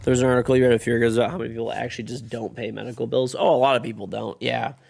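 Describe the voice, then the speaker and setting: A man's voice: mid-range voice, little pitch movement, very fast, creaky, darkish tone. A man in his thirties streaming from home, chatting loosely with his viewers while congested and losing his voice, swearing often and rambling between topics. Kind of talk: casual chat with stream chat.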